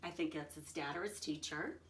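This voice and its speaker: A woman's voice: low voice, normal speed, fairly bright tone. A woman reading a children's picture book aloud expressively, adding simple explanations and checking in with her young listeners. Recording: roomy echo, distant from the mic.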